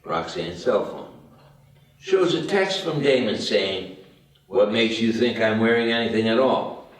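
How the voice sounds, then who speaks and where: Slow male script narrator, very echoey room. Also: on the low side, expressive. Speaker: stage directions narrator. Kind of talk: scripted narration at a table read. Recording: very echoey room, distant microphone.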